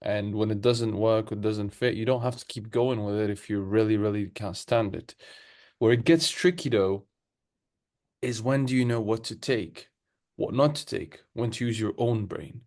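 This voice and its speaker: A man's voice: fairly low pitch, normal speed, a little mellow. A young man co-hosting a casual podcast over a video call from his room, giving advice with a put-on British-sounding accent. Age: young adult. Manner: playful, then earnest advice-giving. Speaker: podcast co-host. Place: room at home, seated in gaming chair. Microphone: video call webcam.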